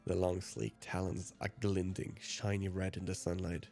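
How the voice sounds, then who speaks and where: A man's voice: sits low, little pitch movement, moderate pace, vocal fry, warm tone. A man, a let's player reading visual novel narration aloud. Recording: music behind the voice.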